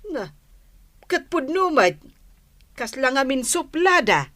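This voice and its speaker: A woman's voice: sits high, very animated, slow, fairly bright tone, no room sound, clean studio sound, heavily acted. A woman playing a nosy, jealous village gossip in a radio comedy drama, grumbling and exclaiming with an Ilocano accent. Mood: indignant, petty.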